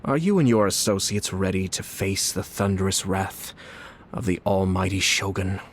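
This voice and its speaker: A man's voice: deep voice, normal speed, slight background noise, close to an everyday voice. A man voicing a curt, haughty official. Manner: curt, formal, condescending. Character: busy, haughty high-ranking male official. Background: music.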